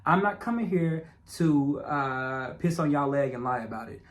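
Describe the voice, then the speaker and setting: A man's voice: on the high side, animated, normal speed. A man with a stutter and a speech impediment, narrating his own documentary as a casual, emphatic monologue aimed at his viewers, often repeating himself. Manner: repetitive, emphatic, talks straight to viewers. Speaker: self-made documentary narrator. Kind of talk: casual direct-address monologue.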